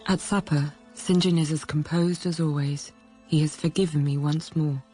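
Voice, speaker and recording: Actress for introspective first-person radio drama narrator, young, troubled. Warm dark tone, even delivery, soft music behind. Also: female voice, low voice, moderate pace, natural voice. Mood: conflicted.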